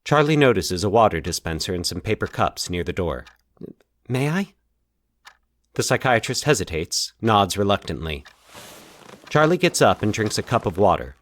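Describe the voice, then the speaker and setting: A man's voice: deep voice, very animated, normal speed, a bit bright, dry recording, clean studio sound. A man reading scripted suspense fiction as the narrator of a story podcast.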